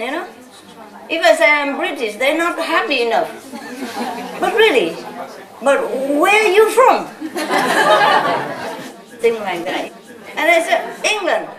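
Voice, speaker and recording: A woman's voice: high-pitched, big pitch swings, measured pace, pauses often, crowd noise. A woman from Vietnam, speaking as a spiritual teacher to a live audience. She talks in a chatty, laughing way, often ending sentences with little questions like "no?". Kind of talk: informal talk to a live audience. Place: lecture hall with audience. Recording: echoey hall, audience laughter.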